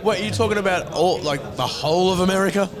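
A man's voice: high voice, fast, music in the background. A man hosting a casual, bantering chat, teasing and joking with plenty of swearing. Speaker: podcast host.

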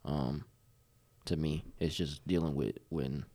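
Podcast guest, man in his late twenties, extremely low voice, loose and rambling. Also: steady tone, slow, warm tone. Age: 20s. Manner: rambling, leans on filler phrases. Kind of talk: casual conversation.

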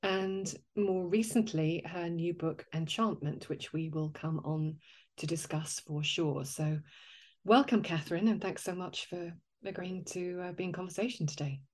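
A middle-aged woman hosting a conversational interview, speaking in measured phrases. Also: on the low side, darkish tone. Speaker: podcast host and interviewer. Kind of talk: conversational interview with prepared introduction.

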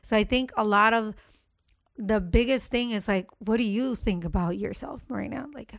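A woman with a deep voice, speaking bluntly and emphatically in a casual chat. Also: normal speed, vocal fry, dry recording, studio quality.

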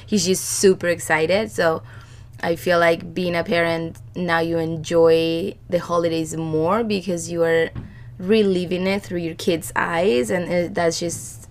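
Woman with a scratchy cold-roughened voice. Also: fairly low pitch, fairly even intonation, a little slow. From Chile. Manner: chatty, rambling with many fillers and restarts. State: hoarse from a cold. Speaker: podcast co-host. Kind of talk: casual chat.

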